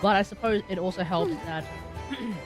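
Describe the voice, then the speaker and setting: A man's voice: high voice, lively intonation, normal speed, over music. A man hosting a casual gaming livestream, rambling and thinking aloud. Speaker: livestream host.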